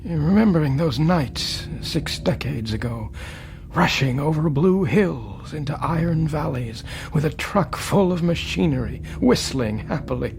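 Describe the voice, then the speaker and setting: A man playing an elderly, lonely man in a radio drama, brooding and rueful, with halting, gravelly speech. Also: on the high side, animated, darkish tone, slight background noise. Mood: wistful. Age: older. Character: elderly lonely man, rueful, haunted by his own past.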